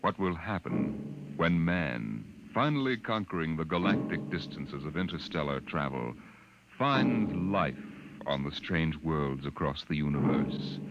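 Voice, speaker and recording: A man acting a grave, portentous storyteller, narrating a 1950s science fiction radio drama. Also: low-pitched. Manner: portentous, measured. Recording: very noisy, dull 1950 radio recording.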